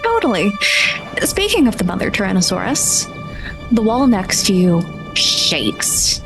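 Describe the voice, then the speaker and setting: A woman's voice: medium pitch, very animated, a little slow, creaky, music in the background, rough recording. Woman narrating a tabletop adventure dramatically. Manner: dramatic, vivid storytelling. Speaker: game master. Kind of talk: improvised tabletop RPG narration.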